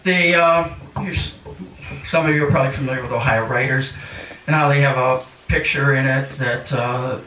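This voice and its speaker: An older man reading poetry live and chatting between pieces with wry, mock-highbrow humour. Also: steady tone, moderate pace, bright, forceful tone. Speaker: featured poet at a reading. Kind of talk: live poetry reading with casual rambling introductions. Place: indoor cafe poetry reading. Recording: echoey room, distant mic, hissy noise.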